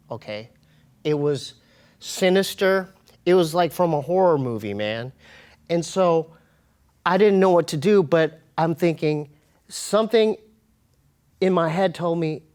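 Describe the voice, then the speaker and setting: An American man with a fairly high-pitched voice, speaking as a guest in an interview. He tells personal stories casually, with slangy, emphatic phrasing and a halting delivery. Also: expressive, darkish tone. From United States. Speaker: interview guest, comedian and hip-hop artist.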